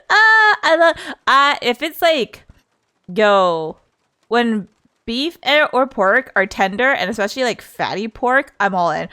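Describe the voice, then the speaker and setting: A woman's voice: a little high, a bit bright. A woman livestreamer chatting casually in a playful, giggly, rambling way. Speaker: streamer.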